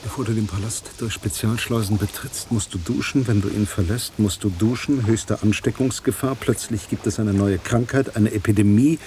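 A man's voice: lowish voice, fairly even intonation, normal speed, hardly any pauses, warm tone, slight background noise, natural voice. An actor playing a wry, brooding man in radio drama dialogue, speaking in a dry, understated way. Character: wry, brooding man teasing his old girlfriend.

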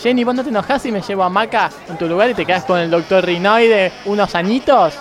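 A woman's voice: mid-range voice, fast talker, over music, crowd noise, natural voice. A woman with a Rioplatense Argentine accent playing a fussing, doting, scatterbrained mother who gushes in baby talk, in a radio comedy sketch. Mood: gushing. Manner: dim, gushing, baby-talking to her child.

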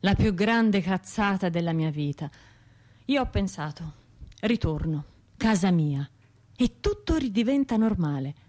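A woman's voice: medium pitch, expressive, darkish tone, lightly acted. Wounded Tuscan woman, confessional as an actress plays her. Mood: bitter self-reproach. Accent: Tuscan Italian. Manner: halting, colloquial, shifting between murmurs and outbursts. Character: wounded, self-reproaching bombing survivor, woman, restless and confessional. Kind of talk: intimate radio drama monologue.

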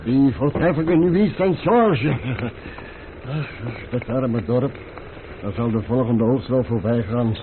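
An actor playing a servile, anxious country innkeeper in a radio play, effusive and wordy in manner. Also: male voice, mid-range voice, takes it slowly, close to an everyday voice. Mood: anxious, plaintive. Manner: effusive, obsequious, nervous. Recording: muffled, noisy old radio recording.

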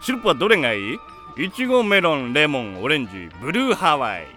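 A man's voice: on the high side, sing-song, fast, pushed and bright, soft background music. A man playing a friendly festival stall vendor in an anime audio drama, with hearty sales patter. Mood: welcoming.

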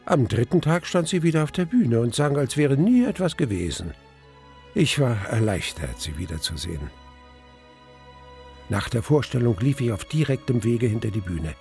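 Brooding, slightly gravelly male narrator. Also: medium pitch, big pitch swings, darkish tone, faint music behind. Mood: subdued, relieved. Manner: measured, suspenseful storytelling. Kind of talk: first-person radio play narration.

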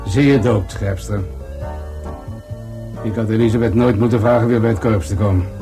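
An actor playing a wry, fatherly police commissioner in a radio drama, speaking in a dry, deliberate, pondering way. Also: lowish voice, over music, close to an everyday voice. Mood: grim, self-reproachful. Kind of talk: Dutch radio drama dialogue.

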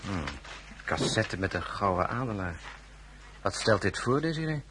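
A man playing a gruff, dryly ironic marshal and husband in a period radio drama, speaking in an unhurried, murmuring way. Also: lowish voice, animated, fairly bright tone, a little hiss or hum. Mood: curious.

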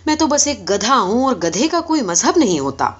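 A woman's voice: on the high side, sing-song. A woman voicing a talking male donkey in a story, polite and wry as he pleads his case earnestly. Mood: earnest protest. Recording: dull, lo-fi recording.

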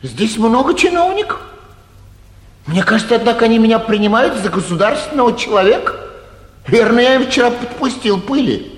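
An actor playing a boastful, flighty young gentleman in a theatrical 1940s stage comedy, breezy, careless and pleased with himself. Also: very high-pitched, big pitch swings, brisk. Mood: gleeful, boastful. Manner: breezy, careless, self-satisfied. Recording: echoey 1949 theatre radio production.

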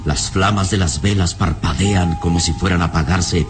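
A man's voice: low-pitched, steady tone, normal speed. A man narrating an old Latin American radio serial in a solemn, theatrical, ominous style over dramatic music.

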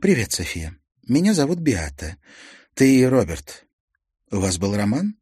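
A man voicing a young policewoman who questions a girl calmly and gently. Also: medium pitch, unhurried, breathy edge, dry recording, clean studio sound, voice pushed up, heavily acted. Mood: coaxing. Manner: calm, coaxing questions.

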